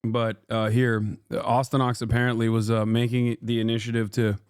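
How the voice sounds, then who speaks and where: Male live streamer, mid-pitched and smooth, sardonic rhetorical delivery, casual political commentary. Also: flat delivery, moderate pace, a little mellow, no room sound, clean studio sound.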